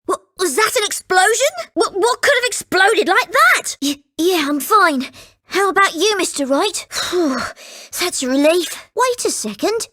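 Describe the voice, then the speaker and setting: A woman voicing a polite, earnest and excitable young boy in a video game. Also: very high-pitched, measured pace, heavily acted. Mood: alarmed.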